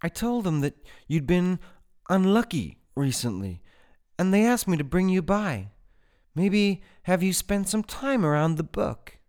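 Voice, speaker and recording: A man voicing a nervous young woman writer, raising his voice high above his own for her. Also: big pitch swings, a little slow, darkish tone. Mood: eager.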